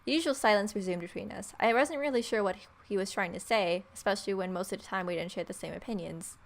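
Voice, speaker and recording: A young adult woman hosting a let's play, reading visual novel narration aloud in an irreverent, expressive way. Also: medium pitch, fast talker. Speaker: gaming video host.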